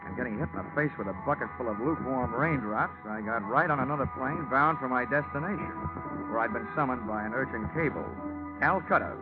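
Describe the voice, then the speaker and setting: A man's voice: medium pitch, brisk, over loud music, natural voice. A man with an American accent playing a wry, cocky insurance investigator in a 1940s radio detective drama, wisecracking in a dry, clipped way. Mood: wry storytelling. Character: wry, hard-boiled freelance insurance investigator, cocky and slangy. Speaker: lead actor and first-person narrator. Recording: tinny, band-limited 1949 radio recording.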